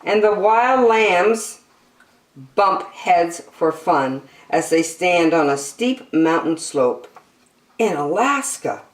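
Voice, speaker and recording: A woman's voice: on the low side, lively intonation, takes it slowly, reverberant, low-quality recording. A woman hosting story time, reading a picture book aloud to young children expressively, with chatty asides and questions.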